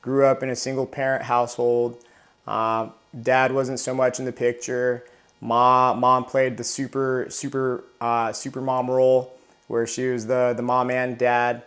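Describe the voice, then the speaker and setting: Male, 30s, interview guest, flat delivery, halting with frequent restarts. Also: mid-range voice. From Southern California. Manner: halting, many restarts and repeated words. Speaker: interview guest, retired Marine. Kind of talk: casual interview.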